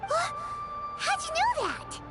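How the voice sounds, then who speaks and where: Tiny squeaky, excitable fairy. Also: female voice, takes it slowly, exaggerated voice. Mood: surprised. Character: small excitable childlike fairy companion, chirpy and curious. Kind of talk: video game voice acting. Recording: loud game music behind the voice.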